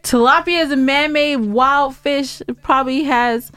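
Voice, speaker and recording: A woman's voice: high voice, fairly even intonation, unhurried, a bit bright, no room sound, soft background music. An American woman co-hosting a podcast, bantering casually in a blunt, teasing, opinionated way, close on the microphone. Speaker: podcast co-host.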